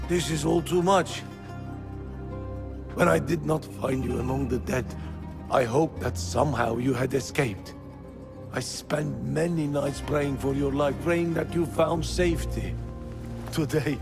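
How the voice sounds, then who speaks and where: Gravelly, slow-spoken old merchant man. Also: medium pitch, music in the background. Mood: relieved, moved. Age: older. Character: devout, nagging old rebel merchant, warm-hearted and grieving. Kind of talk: video game cutscene acting.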